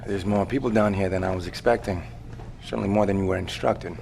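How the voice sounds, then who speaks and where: A man plays an unflappable visitor in an audio drama, his fairly low voice full of gravelly creak. Middle-aged, measured and dry, he evades questions like someone who knows more than he tells. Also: steady tone. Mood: polite, calm. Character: cagey, unflappable male visitor with secret knowledge. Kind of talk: naturalistic audio drama acting.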